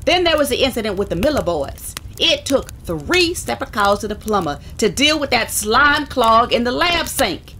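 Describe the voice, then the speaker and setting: Sitcom casting: woman playing firm, exasperated female principal, high voice, emphatic scolding manner. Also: very animated, normal speed, hardly any pauses, sharp tone, a little hiss or hum, exaggerated voice. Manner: stern, admonishing, emphatic. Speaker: actress playing a school principal. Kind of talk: sitcom acting.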